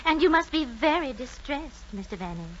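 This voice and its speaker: High-pitched, refined theatrical lady. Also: very animated, moderate pace. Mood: guarded, smooth. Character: genteel, composed widow-like lady suspected of poisoning. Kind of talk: old-time radio drama acting. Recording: dull, noisy vintage radio recording.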